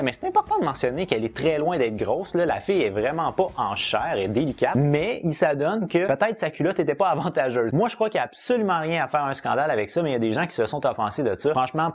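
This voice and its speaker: A young man with a Québécois accent hosting a weekly news vlog, chatting and joking as he addresses his viewers as a community. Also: a little high. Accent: Québécois French. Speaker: vlog host. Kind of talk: fast casual news commentary with humor.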